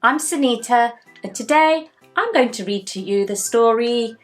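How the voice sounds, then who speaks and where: A woman hosting a children's story time, reading aloud in a deliberate, teacherly way and putting questions to young listeners. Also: a little high, animated, faint music behind.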